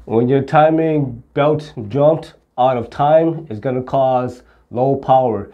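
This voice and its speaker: A man's voice: medium pitch, slow, highs cut off. A middle-aged man explaining car repair to camera in a plain-spoken, step-by-step way. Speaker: auto repair instructor. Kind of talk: hands-on instructional explanation to camera.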